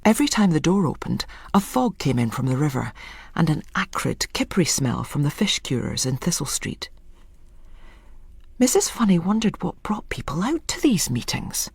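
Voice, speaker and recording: A narrator reading a literary short story in an expressive, measured storytelling manner. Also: mid-range voice, vocal fry, breathy edge, no echo.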